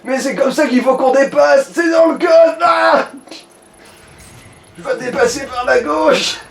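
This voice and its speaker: A man's voice: very high voice, unhurried, creaky. A man chatting casually and bluntly, with swearing, as he advises someone playing a game. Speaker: co-commentator advising the player. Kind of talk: casual gaming chat. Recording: roomy, distant mic, game music behind. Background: game music.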